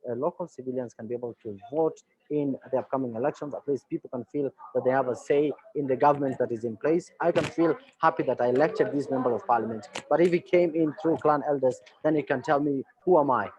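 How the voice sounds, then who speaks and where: A Somali journalist speaking in a webinar panel, earnest and hesitant, with many fillers. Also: male voice, mid-range voice, darkish tone. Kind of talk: panel discussion remarks. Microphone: video call. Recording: dull lo-fi video call audio.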